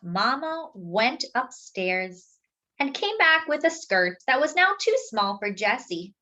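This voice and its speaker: A woman reading a picture book aloud to young children, expressive and deliberate, drawing out key words. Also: a little high, lo-fi sound. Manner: deliberate, with drawn-out emphasis for young listeners. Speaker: children's storyteller.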